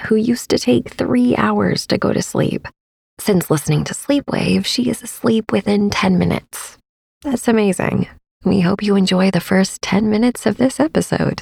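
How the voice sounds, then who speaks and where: A woman hosting a sleep meditation podcast, speaking in a soft, soothing, partly whispered and unhurried way. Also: mid-range voice, creaky, husky, dry recording, studio quality. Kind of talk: guided sleep meditation narration.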